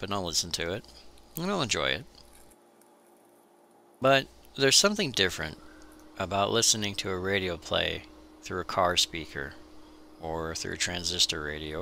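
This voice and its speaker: Male maker-video narrator: low register, plenty of fry, deliberate storytelling voice-over, slight background noise. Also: bright, forceful tone.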